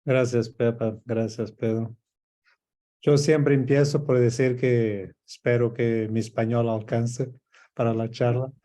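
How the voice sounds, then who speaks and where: A native Portuguese-speaking man with a Portuguese accent and Rioplatense traces, giving a reflective personal talk in a halting, thoughtful way. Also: medium pitch, level tone, dark tone. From Portuguese-speaking Africa. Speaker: guest speaker, coach. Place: at home, by bookshelves, on a video call.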